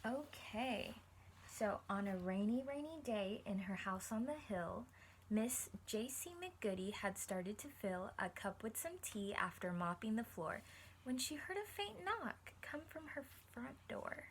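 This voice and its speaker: A woman teacher reading a picture book to children, encouraging them and pausing to explain things simply and ask questions. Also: fairly high pitch, sing-song, slight background noise, poor audio. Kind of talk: read-aloud lesson with questions to young listeners.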